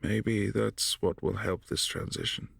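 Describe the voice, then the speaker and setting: A man voicing a gravelly, husky vampire prince. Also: on the low side, steady tone, measured pace, no room sound, full character voice. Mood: calm, concerned. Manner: soft, hushed, often whispering. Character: brooding possessive vampire prince, adult male. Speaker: voice actor in audio drama. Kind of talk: boyfriend ASMR audio drama.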